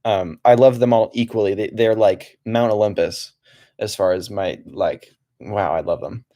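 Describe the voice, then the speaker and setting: Calm, rambling young man streaming. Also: lowish voice, moderate pace. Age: young adult. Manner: calm, soft-spoken, rambling with many restarts. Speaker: livestream host. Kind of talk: relaxed livestream chat. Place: home desk setup.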